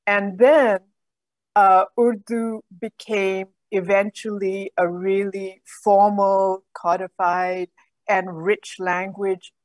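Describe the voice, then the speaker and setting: A middle-aged woman, a guest on a video call, explaining in a measured way. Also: medium pitch, little pitch movement, no echo, poor audio. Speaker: guest, program director.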